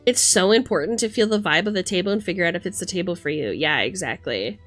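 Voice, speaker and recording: A woman's voice: fairly low pitch, expressive, fast, fairly bright tone, faint music behind. A woman hosting a livestream, talking casually and emphatically with plenty of swearing as she rants and chats with commenters. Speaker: livestream host.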